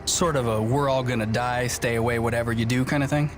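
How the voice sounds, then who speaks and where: A man's voice: medium pitch, steady tone, fast talker, lightly acted. A young man playing an eager, nerdy hacker sidekick in a game cutscene, slangy and rambling. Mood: awkward, flustered. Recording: loud music and noise behind.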